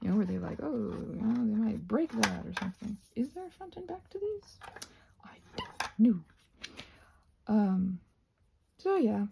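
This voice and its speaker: A woman's voice: medium pitch, expressive, unhurried, vocal fry, pauses often, highs cut off, background noise. A North American woman chatting casually while crafting, playful and scatterbrained, thinking aloud and often trailing off into murmurs. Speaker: craft and ASMR vlogger.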